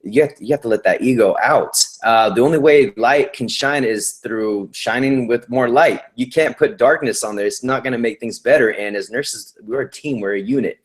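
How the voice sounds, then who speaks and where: A man's voice: medium pitch, moderate pace, hardly any pauses. Man, male nurse, interview guest, upbeat, slangy, encouraging, casual chat.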